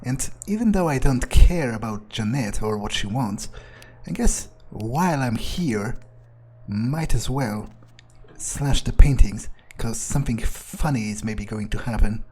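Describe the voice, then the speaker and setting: A man's voice: medium pitch, lively intonation, a little slow, dark tone, breathy edge. A man narrating a game playthrough in character, thinking aloud in a musing, casually profane way. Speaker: gamer playing in character.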